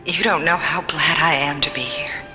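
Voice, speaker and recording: An actress playing an earnest, vulnerable space traveler in an old-time science fiction radio drama, speaking softly and with emotion. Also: female voice, low-pitched, fairly even intonation, moderate pace, loud music behind the voice, lightly acted. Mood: vulnerable, moved. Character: earnest, vulnerable young woman, newly blinded space traveler.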